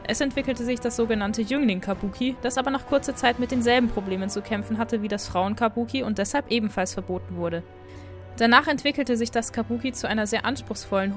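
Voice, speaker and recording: A woman host narrating a scripted explainer video in a friendly way. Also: fairly high pitch. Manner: friendly, apologetic about pronunciation. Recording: voice-over with faint background music.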